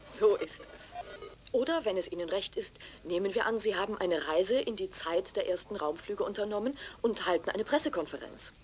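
A woman's voice: mid-range voice, expressive, moderate pace, natural voice. A woman acting a deferential, eager young television reporter in a radio drama, courteous and persuasive. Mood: eager, coaxing. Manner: courteous, persuasive, formal, sometimes hushed.

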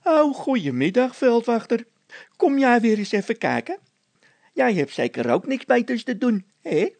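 Man putting on a high-pitched, needling workman. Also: measured pace, vocal fry, no echo, exaggerated voice. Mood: sneering. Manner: mockingly calm, taunting. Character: needling, stubborn male road labourer who loves singing.

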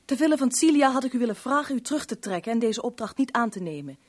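Guarded, higher-voiced young woman. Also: lively intonation, fast talker, husky. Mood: pleading, earnest. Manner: reserved, formal, defensive. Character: guarded, protective, proud young stepdaughter of a millionaire. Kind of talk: Dutch radio play acting.

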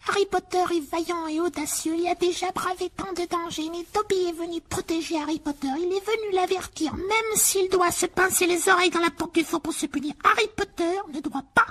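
A woman voicing a timid, tearful male house-elf who pleads in a squeaky, breathy voice and talks about himself in the third person. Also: brisk, few pauses, a bit bright. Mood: earnest. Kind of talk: audiobook character voice.

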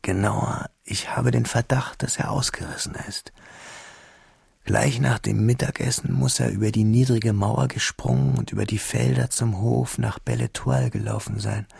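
An actor playing a reflective man who narrates his schoolboy memories in a radio drama, in a measured, intimate, literary manner. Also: fairly low pitch, creaky, slightly rough, dry recording, clean studio sound, exaggerated voice. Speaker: first-person narrator.

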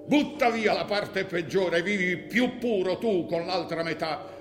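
An actor playing the ghost of a dead king, a solemn, imploring father, in slow, emphatic theatrical speech. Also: sits high. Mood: grave, reproachful. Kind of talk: theatrical stage acting. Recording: distant echoey hall, faint noise.

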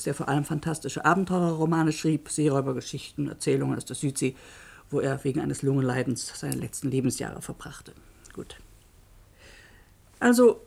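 An actress playing a narrator in a radio play, explaining a case in a composed, wry manner. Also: female voice, low-pitched, creaky, darkish tone, breathy edge, heavily acted. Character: wry female narrator presenting a case to listeners.

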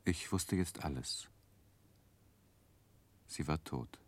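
An actor playing a grown man who soberly recalls his childhood, narrating a 1960s radio play in a measured, reflective way. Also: a bass voice, breathy edge, exaggerated voice. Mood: wistful, reflective.

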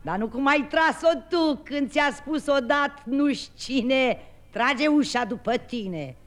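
A man's voice: extremely high pitch, a bit bright. An actor playing a tavern companion who teases his friend in mocking banter, in a 1950s radio play. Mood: teasing. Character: teasing male tavern companion.

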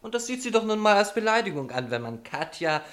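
An actor playing a languid, teasing young brother in a German radio drama, narrating and chatting with easygoing irony. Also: male voice, sits high, very animated, fast, a bit bright. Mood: teasing, placating. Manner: ironic, leisurely.

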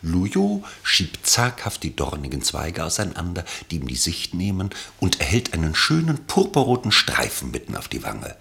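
A man narrating a literary radio play from a script in an expressive, wryly elevated manner. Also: low-pitched, vocal fry.

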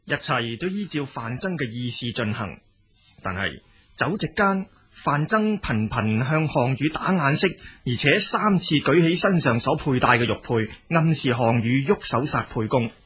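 Husky, animated male drama narrator. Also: medium pitch, normal speed. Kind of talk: scripted storytelling narration for historical audio drama.

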